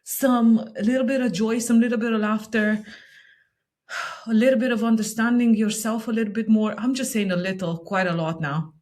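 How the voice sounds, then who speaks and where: A woman hosting a casual interview chat, bubbly and quick to laugh. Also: fairly high pitch, fairly even intonation, darkish tone. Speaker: podcast host.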